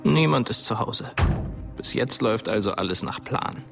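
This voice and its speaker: A somber male narrator in a radio drama, low-voiced and extremely gravelly. His delivery is tense, measured and ominous. Music is clearly heard behind him. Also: expressive. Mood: tense, secretive. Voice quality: gravelly, creaky.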